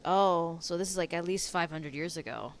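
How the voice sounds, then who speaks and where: A woman's voice: on the low side, normal speed, a little hiss or hum. A woman streamer giving casual live reaction commentary on a video game. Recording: game music behind.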